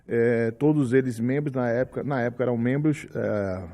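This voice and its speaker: A man in his forties with a Bahian accent and a mellow, warm voice talks on a podcast. He tells his life story in a lively way and acts out the lines people said to him. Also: mid-range voice, moderate pace. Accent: Bahian Brazilian Portuguese. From Salvador, Bahia, Brazil. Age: 40s. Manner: animated storyteller, acts out quotes. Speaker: musician guest.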